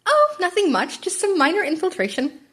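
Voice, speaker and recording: A woman's voice: very high-pitched, wildly swooping pitch, brisk, a bit bright, voice pushed up, heavily acted. A woman voicing a gleefully teasing male talking-animal principal in a polite, airy, coy manner for a story reading.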